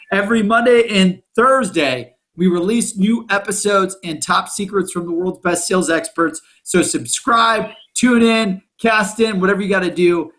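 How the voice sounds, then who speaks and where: A man hosts a casual interview podcast. His voice is a bit higher than most men's, and he speaks in an enthusiastic, slangy, affirming way. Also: bright, forceful tone. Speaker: podcast host.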